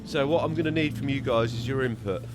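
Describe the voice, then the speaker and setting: A man's voice: medium pitch, quick pace. A man, stuffed up from a cold, giving casual, rambling commentary over a truck driving game. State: congested from a cold. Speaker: gaming video creator. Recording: voice over loud game audio. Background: truck driving game sounds and music.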